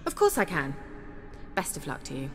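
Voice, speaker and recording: A woman's voice: sits low, huge pitch swings, moderate pace, exaggerated voice. A woman acting a self-assured, cultured sorceress in a video game, articulate and theatrical, with a slyly teasing, coy manner. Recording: long reverb, music behind the dialogue.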